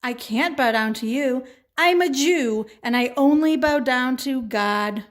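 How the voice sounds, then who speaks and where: A woman's voice: high-pitched, a little slow. A woman voicing a firm, devout uncle in a children's puppet show, earnest and insistent. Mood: defiant. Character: firm, devout uncle counselling his niece.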